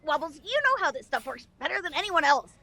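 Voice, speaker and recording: A woman playing a brash, hurried tech pixie in an audio drama, with a snappy, wisecracking delivery. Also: sits high, big pitch swings, bright, forceful tone, full character voice. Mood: urgent.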